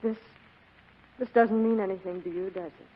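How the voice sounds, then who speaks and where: An actress playing a scheming, pleading femme fatale in a melodramatic, imploring 1940s radio drama style. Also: female voice, mid-range voice, fairly even intonation, normal speed, natural voice. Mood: desperate pleading. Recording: narrow-band old radio recording.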